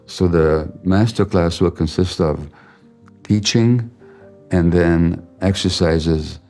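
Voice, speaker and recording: An older man, a Hungarian native speaker, giving a slow, measured, reflective masterclass lecture as a physician. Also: low-pitched, mellow.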